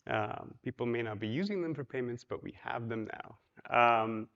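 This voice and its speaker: A man's voice: mid-range voice, normal speed, lo-fi sound. A man from Poland giving a casual, chatty conference talk, with many ums and you-knows, and questions put to the audience. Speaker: conference speaker. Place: large hotel ballroom.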